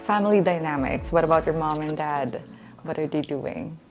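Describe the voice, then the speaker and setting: A woman with a very low-pitched voice works as an interview host. She asks questions in a conversational way, with music clearly audible behind her. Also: normal speed, a little mellow. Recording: background music under speech.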